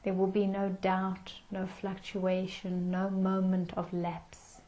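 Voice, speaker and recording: A woman leading a guided meditation in a gentle, soothing manner with unhurried pauses. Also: mid-range voice, monotone, rough recording.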